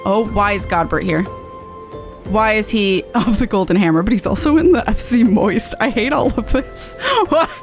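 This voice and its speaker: A woman's voice: medium pitch, moderate pace, faint music behind. A woman game streamer chatting casually, with a playful, blunt, sweary way of talking. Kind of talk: casual playthrough chat.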